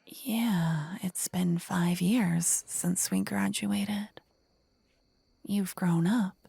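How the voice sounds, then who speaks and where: A woman playing an indignant, blunt young necromancer rival in a fantasy ASMR roleplay, hushed and insistent. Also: lowish voice, very slow, gravelly, husky, dry recording, heavily acted. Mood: insistent, exasperated. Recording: close dry ASMR microphone.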